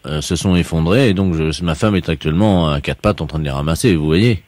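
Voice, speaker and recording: A man playing an earnest, fussy grocer on a prank call, deadpan and hesitant, with pedantic precision. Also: rumbling low, a little fast, dry recording. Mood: earnest complaint. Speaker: prank caller. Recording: telephone prank recording with faint noise.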